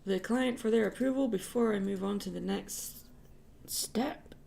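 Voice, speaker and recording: A woman's voice: mid-range voice, measured pace, vocal fry, warm tone. A woman chatting casually and playfully while livestreaming her artwork. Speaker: digital artist livestreaming.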